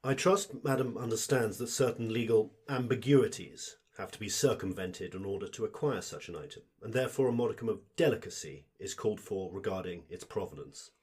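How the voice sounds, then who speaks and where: Shady, pompously deferential antiques dealer for audio drama, male actor. Low, rough-edged voice, ornate sales patter. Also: fairly quick, hardly any pauses, a little mellow. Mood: oily, deferential.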